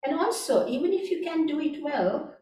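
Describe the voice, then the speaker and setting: A woman from Sri Lanka, a meditation teacher, gently answering audience questions at a workshop. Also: high voice, expressive, a little hiss or hum. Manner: gentle, unhurried, with frequent "you know" and "right?". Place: community meeting room. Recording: echoey room, picked up from a distance.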